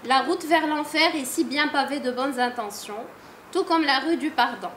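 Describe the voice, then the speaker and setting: High-voiced woman reading a literary review. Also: a bit bright, roomy sound, slight background noise. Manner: measured, literary reading from a phone. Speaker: reader at a literary salon. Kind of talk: reading a prepared book review aloud. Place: large salon room with audience.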